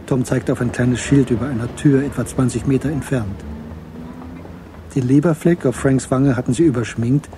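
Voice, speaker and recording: A man narrating a German radio crime drama in a measured, matter-of-fact way. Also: medium pitch, noisy background. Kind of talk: scripted audio drama narration.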